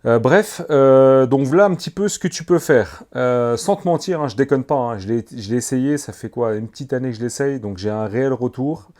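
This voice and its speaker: A man presents gear in a casual vlog style. His voice sits at a medium pitch. He speaks informally, with slang, addressing the viewer directly and familiarly. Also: a little fast, hardly any pauses, darkish tone. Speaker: motorcycle vlogger reviewing gear. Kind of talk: casual product review to camera.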